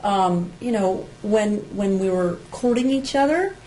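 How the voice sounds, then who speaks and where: A woman's voice: mid-range voice, unhurried, mellow, slight background noise, low-quality recording. A woman from New Jersey who coaches parents, co-hosting a casual chat in an easy, encouraging way. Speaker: podcast co-host, parenting coach.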